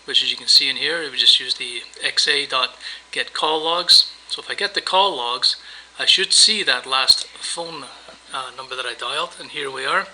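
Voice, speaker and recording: A man presenting a software tutorial, narrating a screen demo in a casual, explanatory, step-by-step way. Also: mid-range voice, moderate pace, rough recording. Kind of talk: screencast demo narration, semi-improvised walkthrough.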